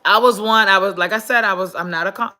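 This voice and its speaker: Raspy congested woman chatting to viewers. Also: mid-range voice, fast, bright, forceful tone. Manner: chatty, greets viewers, many fillers. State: congested, losing voice. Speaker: vlogger. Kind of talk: casual live-stream chat.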